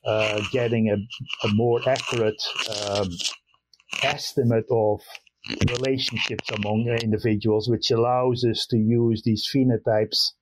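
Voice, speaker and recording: A man's voice: medium pitch, flat delivery, unhurried, background noise. A man from the Netherlands with a Dutch accent, a professor answering interview questions in a hesitant way with many fillers. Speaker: animal breeding professor, guest.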